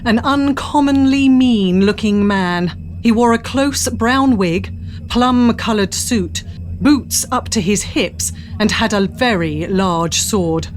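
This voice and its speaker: A woman with a mid-pitched voice narrates an adventure story in a dramatic, scripted style. Faint ambient noise sits behind her. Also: slow.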